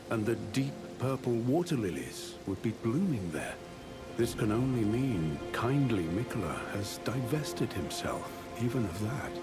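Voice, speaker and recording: An actor playing a courteous, reverent fantasy follower of a demigod in a video game, speaking in formal, archaic phrases. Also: male voice, on the low side. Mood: courteous, reflective. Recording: loud music behind the voice. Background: loud music.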